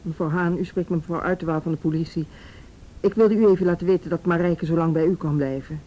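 An actress playing a calm, businesslike policewoman in a radio drama, courteous and composed, with a Netherlands Dutch accent. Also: quick pace, close to an everyday voice. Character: calm, businesslike policewoman making phone calls. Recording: noisy radio drama recording, low rumble.

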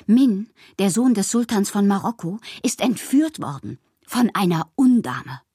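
A woman playing a whimsical bohemian poet who confides her letters in an intimate, breathy, theatrical way for a radio drama. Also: medium pitch, dark tone, no room sound, studio quality. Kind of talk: literary radio drama, letters read as monologue.

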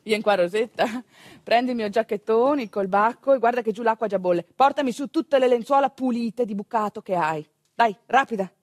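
Woman playing a brisk, bossy village midwife. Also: a little high, dry recording, close to an everyday voice. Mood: brisk, commanding. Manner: short commanding phrases.

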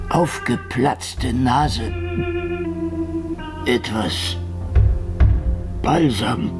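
A world-weary, hard-drinking private eye from a radio drama. He drawls slowly and wryly, halting often, with lively swings in pitch. Prominent music runs behind him. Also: vocal fry, exaggerated voice. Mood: suspicious, threatening. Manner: halting, wry, noir drawl. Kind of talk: German radio play acting. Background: loud music and vehicle sounds.

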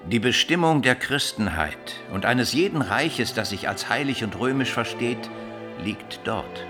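An actor playing a learned older bishop who counsels an emperor in a radio drama, didactic, measured and rhetorical. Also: male voice, medium pitch, animated, over music, natural voice. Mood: solemn storytelling. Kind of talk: German radio play acting.

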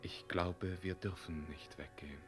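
An actor playing a bitter, weary heroic knight in a classic German radio play, theatrical, moving between hushed and thundering delivery. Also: male voice, sits low, steady tone, measured pace, soft background music. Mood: grave, tender.

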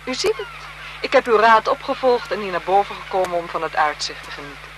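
An actress playing a self-possessed, flirtatious marquise in a 1970s Dutch radio play, speaking formally and teasingly. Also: female voice, mid-range voice, lively intonation, a little fast, fairly bright tone, lightly acted. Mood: coy, teasing. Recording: 1970s radio drama with noisy background.

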